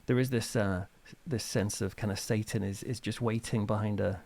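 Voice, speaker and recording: A middle-aged man with a British accent and a fairly low voice. He talks casually as a guest in an interview. His delivery is informal and chatty, like someone animatedly sharing anecdotes. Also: fairly even intonation, normal speed, gravelly, a little mellow. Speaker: interview guest, author and reverend.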